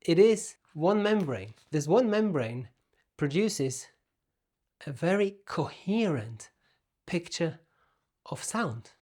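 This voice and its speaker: Male gear reviewer, pitch a bit above average, explanatory delivery with deliberate, emphatic phrasing. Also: very animated, darkish tone. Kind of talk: explanatory product review talk. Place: room at home.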